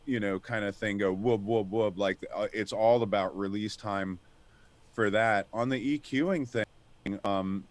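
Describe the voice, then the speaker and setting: Deep, smooth male guest, halting delivery. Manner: halting, restarts often, searches for words. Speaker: panel guest. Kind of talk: casual panel discussion.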